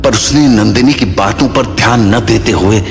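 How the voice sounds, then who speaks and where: Low-voiced male narrator with long reverb. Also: moderate pace. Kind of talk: scripted horror story narration. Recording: long reverb, music behind. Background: background music.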